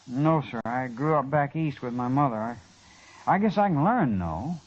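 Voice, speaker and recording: An actor playing an earnest, anxious eighteen-year-old youth, polite and hesitant, in an old time radio western. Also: male voice, mid-range voice, expressive, normal speed, natural voice. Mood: earnest, worried. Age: young adult. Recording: muffled, dull old radio recording.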